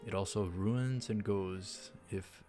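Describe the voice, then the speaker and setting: A man with a low voice hosts a let's play in a casual style. He rambles and halts as he comments on the game. Faint music sits behind his voice. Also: fairly even intonation, slow, warm tone.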